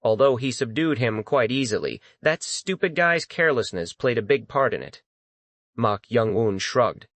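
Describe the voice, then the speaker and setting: A man's voice: mid-range voice, expressive, moderate pace, fairly bright tone, dry recording. A man reading dramatic scripted fantasy narration as an audiobook narrator.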